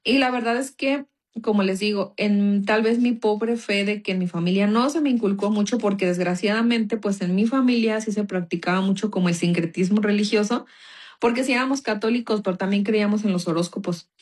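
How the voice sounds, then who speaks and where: A woman's voice: mid-range voice, brisk, a little mellow. Mexican woman telling a faith testimony. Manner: casual storytelling, many fillers. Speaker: guest giving a testimony.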